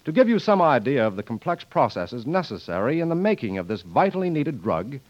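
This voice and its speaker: A man announcing from a script in a polished, formal 1940s radio style. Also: on the high side. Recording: muffled 1940s radio broadcast, faint music.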